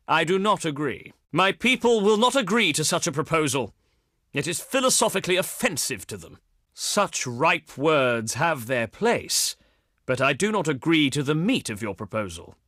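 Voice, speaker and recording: Pompous, animated ancient Greek diplomat. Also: male voice, on the high side, no echo, studio quality, heavily acted. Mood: firm, dismissive. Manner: pompous, oratorical, deliberate phrasing. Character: haughty ancient Greek diplomat, pious and slyly threatening. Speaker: video game voice actor. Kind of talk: theatrical scripted game dialogue.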